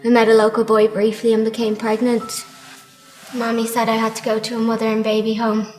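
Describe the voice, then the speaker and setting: An actress playing a sorrowful teenage girl giving a measured, subdued first-person testimony. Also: fairly high pitch, monotone, darkish tone, echoey room, faint music behind, lightly acted, poor audio. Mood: subdued, ashamed. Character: sorrowful teenage girl recounting mother and baby home.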